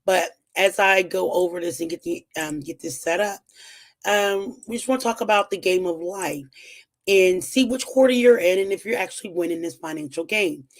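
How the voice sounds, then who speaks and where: A woman's voice: mid-range voice, normal speed. A young woman with a strained, recovering voice, chatting casually as she teaches personal finance at a whiteboard, with lots of "um"s and upbeat encouragement. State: voice strained after losing it. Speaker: financial educator hosting a weekly livestream.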